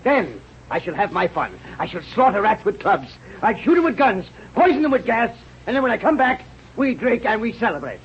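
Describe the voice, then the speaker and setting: A man's voice: high voice, big pitch swings, a little fast. An actor in a 1930s radio drama playing a boisterous, big-hearted male adventurer and rat catcher, declaiming in bombastic broken English. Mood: boisterous, boastful. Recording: muffled, noisy old radio recording.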